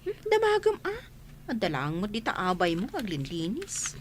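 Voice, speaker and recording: A woman's voice: medium pitch, wildly swooping pitch, moderate pace, a little hiss or hum. A woman acting a gossipy, whiny, resentful young office worker in a radio comedy drama. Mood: chummy.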